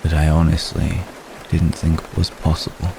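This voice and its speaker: A man playing a centuries-old, lovestruck vampire gentleman in an ASMR roleplay, speaking formally and haltingly, apologetic and tender. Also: a bass voice, vocal fry. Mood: tender, vulnerable confession. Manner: halting, formal, soft-spoken, deliberate phrasing. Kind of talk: ASMR roleplay, intimate dramatic monologue. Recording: steady rain noise behind the voice. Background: rain.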